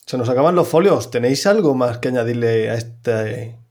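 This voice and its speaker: Man in a mid-range voice asking quick questions. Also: mid-range voice, expressive, darkish tone. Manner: friendly, reads quick-fire questions. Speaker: podcast host. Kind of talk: casual interview questions.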